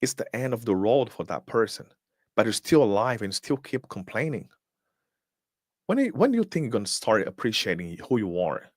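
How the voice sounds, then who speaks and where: Emphatic low-voiced man, lively delivery. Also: normal speed, dry recording, clean studio sound. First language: Portuguese. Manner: emphatic, fires rhetorical questions, repeats words while searching. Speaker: motivational speaker and host. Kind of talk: live-streamed motivational talk.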